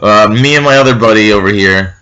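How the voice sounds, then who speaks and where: A young man telling a story casually and with a lot of swearing, with a loud, heavy voice, acting out the shouted lines he quotes. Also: on the low side, a little fast. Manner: animated, profane, acts out shouted quotes. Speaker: vlogger. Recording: dull lo-fi sound.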